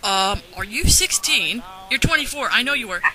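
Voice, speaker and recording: A woman's voice: a little high, expressive, moderate pace, sharp tone, rough recording. A woman giving casual unscripted commentary, sarcastic and ranting, swearing freely. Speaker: commentary host.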